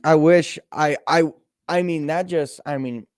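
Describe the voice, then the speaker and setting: A man's voice: fairly high pitch, fairly even intonation, measured pace, no echo, clean studio sound. A man in his early twenties co-hosting a podcast, rambling casually with lots of "bro" and "like". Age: 20s. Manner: rambling, says "bro" and "like" a lot, laughs. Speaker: podcast co-host. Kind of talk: casual chat.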